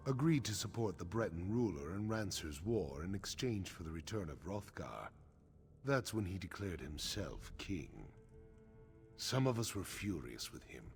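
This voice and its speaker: An actor playing a grave, honorable middle-aged orc warrior chief in a video game, speaking in a solemn, measured, frank way. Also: male voice, low-pitched. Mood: somber, reminiscing. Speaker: quest-giving game character. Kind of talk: scripted video game dialogue. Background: game music.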